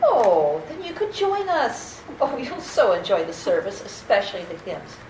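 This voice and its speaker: A woman's voice: medium pitch, sing-song, moderate pace, a little mellow, lightly acted. Lady doing a fussy genteel old aunt, radio drama, super up and down voice, noisy echoey hall sound. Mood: sweetly prim. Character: sweet, prim, indignant old aunt. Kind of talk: old-time radio theater acting. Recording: echoey, noisy, distant stage recording.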